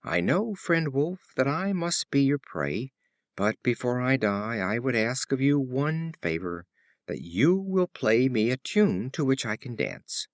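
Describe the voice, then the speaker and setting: A man voicing a polite, quick-witted young goat kid pleading in a fable. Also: medium pitch, very animated, dry recording, studio quality. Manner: courteous, pleading. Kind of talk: animal fable storytelling.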